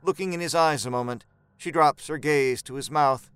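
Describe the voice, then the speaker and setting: A man narrating a horror story in a measured, suspenseful, dramatic storytelling style. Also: fairly high pitch, fairly bright tone, smooth, lightly acted.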